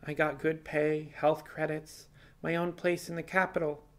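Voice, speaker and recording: A man's voice: fairly high pitch, little pitch movement, unhurried, pitched above the performer's own voice, lightly acted. A man voicing a young female rabbit fugitive in audio fiction, resigned and apologetic, talking in a plainspoken, hesitant, confessional way. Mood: wistful. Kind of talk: audio fiction character dialogue.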